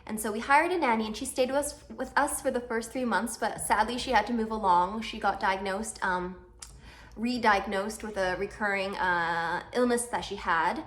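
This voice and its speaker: A woman's voice: medium pitch, moderate pace, a bit bright, a little hiss or hum. A woman in her thirties from Canada, chatting casually to camera in a vlog, self-deprecating and full of fillers. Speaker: vlogger.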